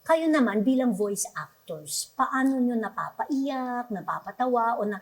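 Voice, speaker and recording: Husky, higher-pitched Filipino woman hosting. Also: animated, a little slow. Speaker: program host, interviewer. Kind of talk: conversational interview, mixing Tagalog and English.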